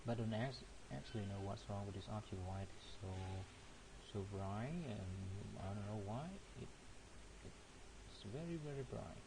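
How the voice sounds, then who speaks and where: Guy doing a software tutorial, lowish voice, lots of ums, hiss in the background. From Cambodia. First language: not English. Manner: hesitant, thinking aloud with frequent fillers and restarts. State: coughing now and then. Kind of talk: screen-recorded software tutorial.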